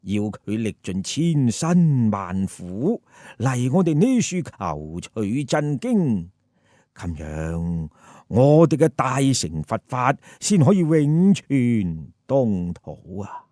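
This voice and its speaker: A man voicing a serene, grave Buddha in measured, solemn pronouncements for a story. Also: medium pitch, very animated, mellow, no echo, clean studio sound, voice pushed down. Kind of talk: storytelling character voice.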